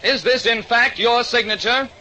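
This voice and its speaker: A man's voice: very high-pitched, moderate pace, sharp tone, slight background noise, voice pushed up, heavily acted. A man voicing a formal, probing courtroom lawyer in a 1940s radio drama.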